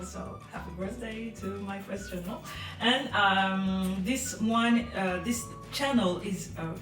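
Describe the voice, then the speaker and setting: Hesitant French-accented woman, roomy distant sound. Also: mid-range voice. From France. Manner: hesitant, many fillers, soft laughs. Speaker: vlogger. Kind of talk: casual chat to camera. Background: music behind.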